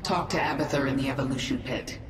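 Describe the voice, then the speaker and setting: A woman's voice: rumbling low, fairly even intonation, fast, echoey room, very noisy. A woman playing the commanding queen of an alien swarm in a video game, speaking in a cold, determined manner. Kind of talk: video game cutscene voice acting.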